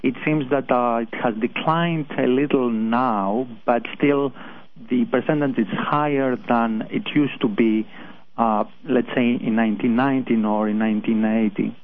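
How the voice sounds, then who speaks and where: A man with a Greek accent, a guest on a radio show, answering hesitantly with many pauses and restarts. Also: medium pitch, fairly even intonation. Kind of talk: phone-in interview. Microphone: telephone. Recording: tinny phone line.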